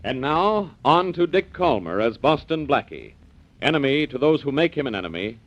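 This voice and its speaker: A man's voice: medium pitch, very animated, normal speed, dull audio, natural voice. A man announcing a 1940s radio show in a dramatic, declaiming way. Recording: hissy noisy old radio recording.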